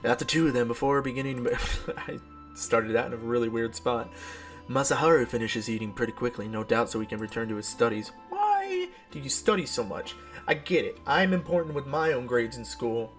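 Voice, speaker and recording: A man's voice: mid-range voice, brisk, fairly bright tone. Playful young man stumbling through game commentary. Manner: playful, self-mocking, stumbles and stutters over words. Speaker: gaming video host. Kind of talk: casual playthrough commentary with read-aloud visual novel text. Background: music.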